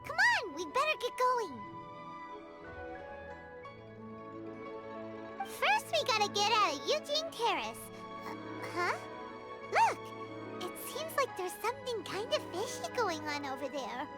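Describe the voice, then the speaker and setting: A woman voicing a tiny, bubbly, childlike fairy companion with a squeaky voice in a video game. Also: huge pitch swings, normal speed, exaggerated voice. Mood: urgent, curious. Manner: bubbly, speaks of self in third person. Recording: loud music under dialogue.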